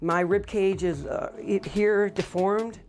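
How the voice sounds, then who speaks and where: A middle-aged man with a high voice, speaking matter-of-factly in an interview, with frequent fillers. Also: fairly even intonation, slow pace, soft background music. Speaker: record holder. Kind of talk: interview monologue. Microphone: lapel.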